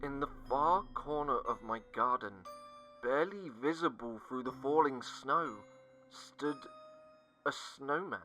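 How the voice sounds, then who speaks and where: A man narrating a scripted first-person horror story, dramatic and sinking to hushed tones at the tense moments. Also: mid-range voice, a little slow. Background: faint music.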